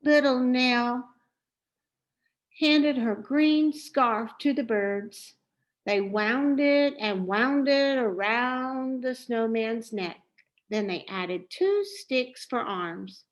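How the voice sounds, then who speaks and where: A woman reading a children's story aloud in a deliberate, expressive way. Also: a little high. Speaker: storybook reader.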